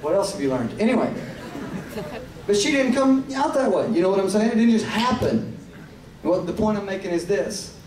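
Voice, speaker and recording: A man's voice: high voice, animated, moderate pace, crowd noise. A man in his thirties with a Southern Tennessee accent, preaching a casual, joking live sermon that swells into fervor. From Tennessee, USA. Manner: casual, joking, drawn out, rising to fervent. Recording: echoey hall, distant mic, room noise.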